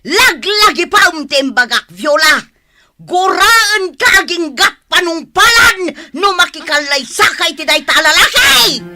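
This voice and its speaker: A woman's voice: extremely high pitch, expressive, a little slow, exaggerated voice. A woman playing an angry, stubborn village mother in an Ilocano comedy radio drama, scolding with indignant exclamations. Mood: furious. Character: angry, stubborn village mother opposing her son's marriage.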